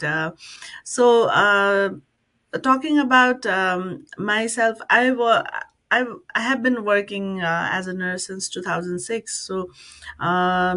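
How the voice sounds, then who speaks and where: A woman in her forties from Nepal, a guest on an online talk show, telling her story with frequent pauses and fillers. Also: mid-range voice, pushed and bright. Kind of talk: interview storytelling.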